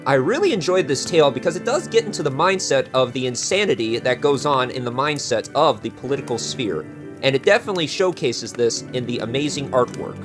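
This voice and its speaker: A man's voice: medium pitch, expressive, brisk. A man hosting a show, giving casual review commentary to his viewers. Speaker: host and reviewer. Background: music.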